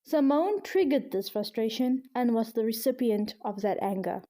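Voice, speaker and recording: A woman from South Africa narrating a scripted video essay in a measured, explanatory way. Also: fairly high pitch, expressive, dark tone.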